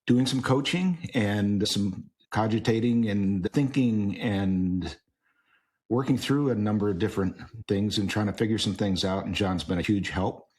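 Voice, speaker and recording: Middle-aged male podcast host, lowish voice, friendly and halting. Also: a little mellow. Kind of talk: interview hosting.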